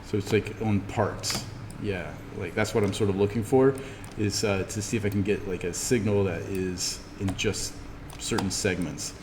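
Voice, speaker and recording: A man teaching an informal in-class software tutorial, thinking aloud haltingly as he demonstrates. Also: low-pitched, steady tone. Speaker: instructor teaching 3D animation software. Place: classroom, indoors. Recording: echoey room with background noise.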